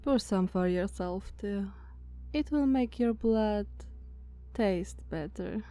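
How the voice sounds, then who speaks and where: A woman with a Slavic accent voicing a dominant, haughty female vampire master in a soft, commanding ASMR roleplay. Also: medium pitch, natural voice. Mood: calm, imperious. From Slavic country. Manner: slow, soft, commanding, condescending.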